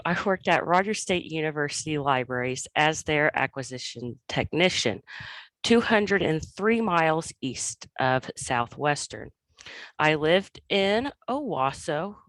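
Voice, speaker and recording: A woman librarian, hoarse after illness, giving a prepared conference presentation about her own career path in an earnest storytelling way. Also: low-pitched, no room sound. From Oklahoma.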